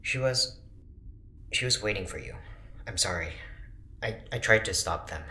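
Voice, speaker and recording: A man's voice: on the low side, expressive, normal speed, bright, forceful tone. A man playing a guarded, protective scientist and doctor in an audio drama, speaking with careful, formal restraint. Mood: anxious, protective. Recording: roomy echo, clear background noise.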